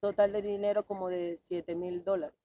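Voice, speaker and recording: Woman, venezuelan accent, interview guest, mellow voice, hesitant, lots of fillers. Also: mid-range voice, flat delivery, moderate pace. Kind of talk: interview answers.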